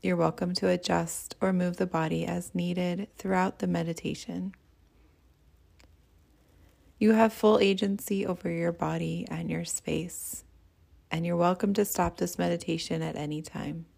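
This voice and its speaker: A woman leading a guided meditation as a teacher, speaking in a soothing, gentle and unhurried way. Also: lowish voice, gravelly.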